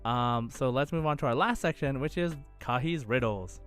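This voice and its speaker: A man's voice: mid-range voice, normal speed, a bit bright, soft background music. A man co-hosting a podcast, chatting casually through a quiz. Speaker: podcast host.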